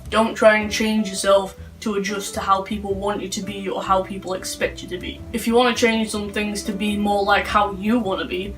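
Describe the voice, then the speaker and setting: A young man in his late teens answers questions in a calm, plain way. His delivery stays level, with little rise and fall. He sits back from the mic, and faint music plays underneath. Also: high-pitched, fairly quick, hardly any pauses, recorded from a distance, low-quality recording. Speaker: interview guest.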